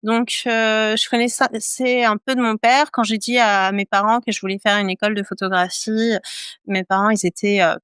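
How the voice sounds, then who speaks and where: French woman guest, smooth clear voice, lots of um and repeats, dry close sound. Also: mid-range voice, level tone, moderate pace, a bit bright, no echo. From France. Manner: hesitant, many fillers and repeated phrases. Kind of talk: casual interview chat.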